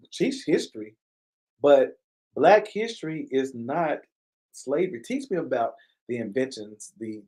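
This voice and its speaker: A man hosts a casual live online talk show with a middle-pitched voice. He speaks earnestly, repeating key phrases with a preacher-like cadence, and regularly stops to thank viewers by name. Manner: pauses to give viewers shout-outs. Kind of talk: casual live-stream commentary.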